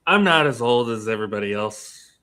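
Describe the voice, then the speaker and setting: Younger guy on a sports panel, sharp bright voice, casual opinions. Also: medium pitch, expressive, brisk, smooth.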